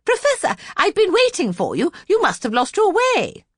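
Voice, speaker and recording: A woman voicing a fussy, eager society lady in a children's audiobook, shrill, insistent and exclamatory. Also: huge pitch swings, moderate pace, no room sound, clean studio sound, heavily acted. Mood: eager reproach. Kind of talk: audiobook character voice for children's story.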